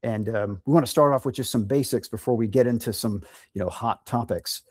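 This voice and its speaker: A man's voice: medium pitch, fairly quick, a little mellow, breathy edge, no room sound, studio quality. A man interviewing a guest, upbeat but hesitant, with many ums. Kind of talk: casual interview.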